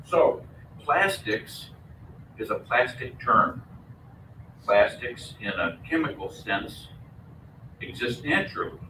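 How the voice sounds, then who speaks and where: An older man with a booming voice, giving a prepared lecture at a podium microphone with measured, lightly humorous delivery. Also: expressive, pushed and bright, slight background noise. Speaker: guest lecturer. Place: mosque lecture room. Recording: echoey room, from far off.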